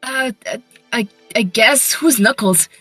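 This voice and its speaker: A brash young cartoon hedgehog hero played by a woman, high and bright. The delivery is casual and halting, flippant in the way of an improvised fan dub, with faint music behind it. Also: husky, heavily acted. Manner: halting, casual, full of filler words.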